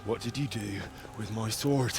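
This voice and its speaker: An actor playing a dogged, vengeful young peasant fighter in a video game, demanding and aggressive. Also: male voice, on the low side, normal speed, creaky, slight background noise. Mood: defiant. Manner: demanding, aggressive, rising into shouts. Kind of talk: video game cutscene acting. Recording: loud music behind.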